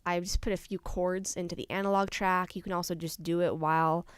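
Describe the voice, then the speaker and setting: A young adult woman presenting an informal software tutorial, explaining things casually step by step. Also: fairly low pitch, fairly even intonation, a little fast, smooth, dry recording. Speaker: tutorial presenter, studio intern.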